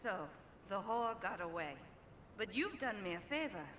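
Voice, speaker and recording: Woman playing a cold, sharp-voiced crime boss. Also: animated, moderate pace, natural voice. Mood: cold, menacing. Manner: menacing, commanding, contemptuous. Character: cold, vengeful, sadistic female Yakuza boss. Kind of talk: video game cutscene acting. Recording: echoey hall reverb, noisy rumbling background.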